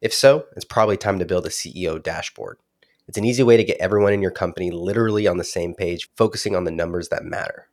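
A man reading a scripted promotional spot for a podcast in a brisk, pitching manner. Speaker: podcast ad reader. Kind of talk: scripted ad read.

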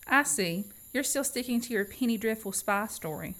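Girl acting a huffy reluctant young woman in a radio play, higher voice, always protesting. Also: animated, normal speed, a bit bright. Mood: skeptical. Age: young adult. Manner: indignant, protesting. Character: indignant, reluctant young woman handcuffed to fugitive.

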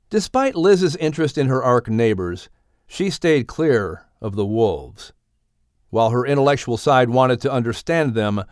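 Male audiobook narrator, mid-pitched, very varied intonation, dry studio sound, expressive children's storytelling. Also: no room sound.

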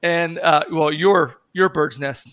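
A man chatting excitedly about sports as a podcast guest, in a playful way. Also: a little high, slow pace, no room sound. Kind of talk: casual sports chat.

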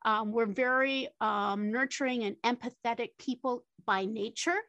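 A woman's voice: fairly high pitch, steady tone, moderate pace, a bit bright, no room sound, highs cut off. A woman moderating a panel discussion, chatty, with many fillers and tag questions.

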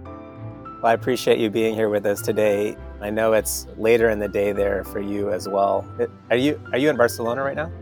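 A man's voice: fairly low pitch, fairly even intonation, moderate pace, darkish tone, faint music behind. A man hosting a podcast who introduces his guest earnestly and speaks with open, heartfelt praise. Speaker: podcast host.

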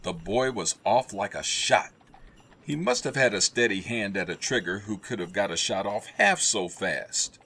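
A man narrating a Victorian Christmas tale in a radio play, husky and theatrical, savoring each repeated phrase. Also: on the low side, expressive, normal speed, bright, forceful tone, exaggerated voice. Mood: amused. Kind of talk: dramatic storybook narration.

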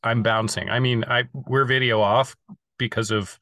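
A man's voice: medium pitch, steady tone, moderate pace, bright, forceful tone, no echo. A man hosting a podcast over a remote call, eager and repeating words as he starts sentences. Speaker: podcast host. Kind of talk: casual interview chat.

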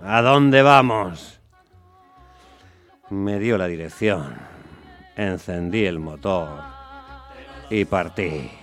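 A man's voice: sits low, extremely slow, pauses often, fairly bright tone, full character voice. An actor playing a weary, put-upon working-class taxi driver who tells his story in a colloquial, grumbling way in a radio drama. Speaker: first-person narrator and lead actor. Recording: music under the voice. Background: music.

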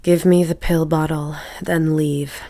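A woman's voice: deep voice, flat delivery, measured pace, warm tone. A woman playing a bitter, forgetful bedridden housewife in an audio drama, sardonic and imperious, with a drawling delivery. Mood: scornful, weary.

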